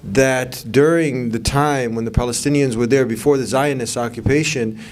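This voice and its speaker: A man's voice: mid-range voice, steady tone, normal speed. A man hosting an interview session, asking friendly prompting questions. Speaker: interview host. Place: mosque event.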